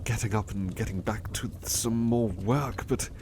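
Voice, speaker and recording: Slow-talking, devoted weary butler played by a man. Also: lowish voice, background noise, natural voice. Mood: affectionate, cosy. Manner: hesitant, halting, polite old-fashioned phrasing, affectionate. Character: overworked, devoted, weary butler resting affectionately on partner's lap. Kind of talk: ASMR roleplay, intimate personal attention.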